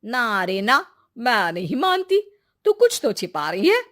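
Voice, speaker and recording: Woman playing a curious, lively village woman. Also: fairly high pitch, a little slow. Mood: probing. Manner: inquisitive, colloquial. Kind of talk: cartoon moral-story acting.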